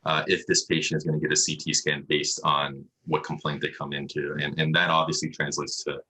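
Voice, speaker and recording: A man's voice: a bass voice, flat delivery, brisk, pushed and bright, poor audio. A man answering questions as a medical specialist in a casual interview over a video call, explaining things with frequent asides. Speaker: emergency radiologist, interview guest. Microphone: video call microphone.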